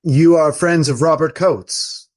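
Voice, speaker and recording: Man acting gentle, grave middle-aged friar, formerly a baron. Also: on the high side, fairly even intonation. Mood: reserved, courteous. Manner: measured, formal, courteous. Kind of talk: radio play acting.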